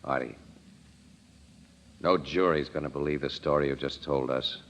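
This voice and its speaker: An actor playing a tough, level-headed crime photographer, terse and firm, in an old-time radio drama. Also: male voice, rumbling low, fairly even intonation, moderate pace. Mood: probing. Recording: reverberant, dull, noisy vintage radio recording.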